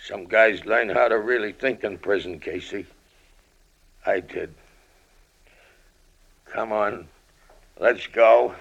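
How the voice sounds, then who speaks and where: An actor playing a hard, tired old American ex-convict in an old-time radio crime drama, talking tough underworld slang with menace. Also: male voice, lowish voice, fairly even intonation, slow, gravelly, long pauses, a bit bright, muffled recording, slight background noise, heavily acted. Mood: weary, resigned. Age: older.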